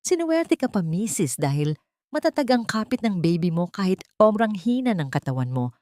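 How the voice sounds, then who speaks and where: A woman storyteller voicing a weary hospital doctor who speaks in a calm, measured, cautioning way. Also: medium pitch, no room sound, clean studio sound, natural voice.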